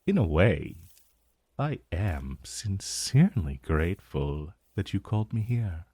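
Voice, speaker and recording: A man's voice: low voice, very animated, warm tone, breathy edge, dry recording, studio quality, heavily acted. A man voicing an ancient dragon familiar in a romantic fantasy ASMR roleplay, possessive and silkily tender with a vague menace, murmuring formally and seductively. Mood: tender, confiding. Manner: slow, formal, seductive murmuring with lingering pauses.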